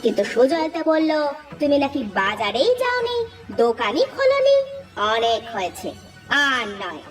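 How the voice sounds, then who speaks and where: A woman voicing a feisty, resourceful village wife in a cartoon, sharp-tongued and decisive. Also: high-pitched, wildly swooping pitch, normal speed, soft background music. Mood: angry, scolding. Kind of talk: cartoon dub acting.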